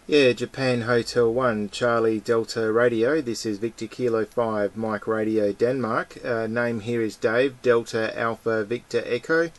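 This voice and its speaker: A man's voice: mid-range voice, steady tone, unhurried, few pauses. A man with an Australian accent chatting as an amateur radio operator, using call signs, recorded indoors. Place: indoors beside the radio transceiver.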